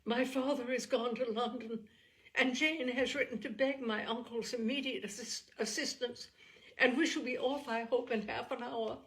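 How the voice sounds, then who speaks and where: A woman voicing an agitated young gentlewoman from a classic novel, breathless and near tears, with anguished emphasis in an audiobook reading. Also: on the high side, fairly even intonation, moderate pace, pitched above the performer's own voice, natural voice, rough recording. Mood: anguished, hopeless. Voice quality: breathless, breaking voice.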